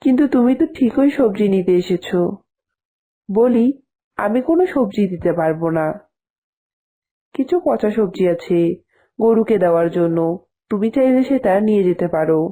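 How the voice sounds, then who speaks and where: Grudging neighbour woman for a cartoon, female actor: reproachful, dark medium-pitched voice. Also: expressive, normal speed, pauses often, dull audio, close to an everyday voice. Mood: grudging, scornful. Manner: curt, reproachful. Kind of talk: cartoon dialogue.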